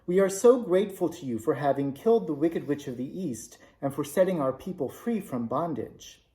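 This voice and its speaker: A man voicing a sweet, calm little old woman who greets a visitor, in a storybook reading. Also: fairly high pitch, moderate pace, close to an everyday voice. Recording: roomy echo.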